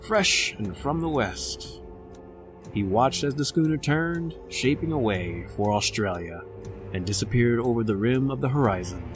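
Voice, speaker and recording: A man giving measured, dramatic scripted narration for a radio story. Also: mid-range voice.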